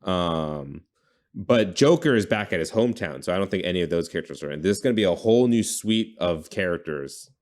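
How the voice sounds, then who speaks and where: A man's voice: sits low, expressive, brisk, darkish tone. A man hosting a casual brainstorming chat, informal and often correcting himself as he thinks aloud. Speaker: podcast host pitching game ideas.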